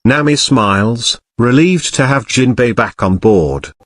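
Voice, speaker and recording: A man's voice: mid-range voice, lively intonation, unhurried. A man reading scripted narration for an audio drama.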